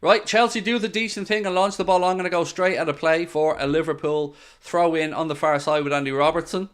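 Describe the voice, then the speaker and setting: Man, live match watchalong host, excitable commentary, jokey, smooth clear voice, slightly high. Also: brisk. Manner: excitable, jokey, addresses viewers directly.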